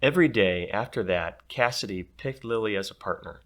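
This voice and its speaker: A man reading a children's story aloud in an expressive, playful way. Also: fairly low pitch, moderate pace. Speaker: storybook reader.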